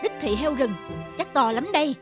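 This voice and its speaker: A woman voicing a dramatic, boastful, guilt-ridden young male hunter in an animated folk tale, given to exclaiming and talking to himself. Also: high voice, normal speed. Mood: determined. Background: music.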